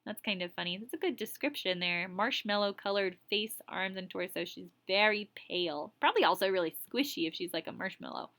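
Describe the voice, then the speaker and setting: A woman teacher reading a story aloud expressively, pausing to explain words in a casual way. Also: medium pitch, animated, fairly bright tone.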